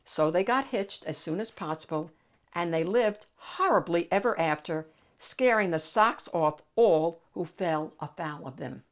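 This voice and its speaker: A woman's voice: on the low side, lively intonation, unhurried. A woman reading a picture book aloud expressively, as the host of a storytime. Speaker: storybook reader and channel host.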